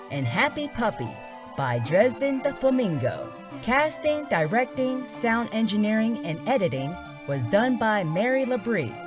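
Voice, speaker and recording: A woman announcer reading scripted credits and notices for a fiction podcast. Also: medium pitch.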